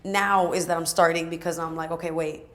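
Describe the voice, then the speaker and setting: A raspy-voiced woman in her twenties, chatting casually as a guest and filling her talk with "like". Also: on the low side, flat delivery, a little fast. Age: 20s. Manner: chatty, many fillers like "like". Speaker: guest, aspiring singer and rapper. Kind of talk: casual sit-down chat.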